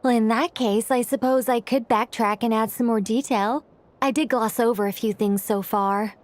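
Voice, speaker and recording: A woman's voice: a little high, steady tone, normal speed, lightly acted. An actress playing an eccentric, absent-minded female mage in a video game, explaining at length in a scholarly, wordy manner. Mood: self-effacing. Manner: long-winded explaining, flustered when interrupted.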